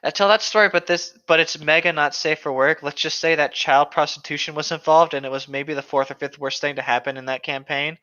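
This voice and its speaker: A man's voice: on the high side, fairly even intonation, fairly quick, few pauses, bright, forceful tone, smooth. A man co-hosting a casual show, reading stories and commenting, on a dull lo-fi microphone. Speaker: co-host reading online stories. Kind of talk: casual chat and story reading.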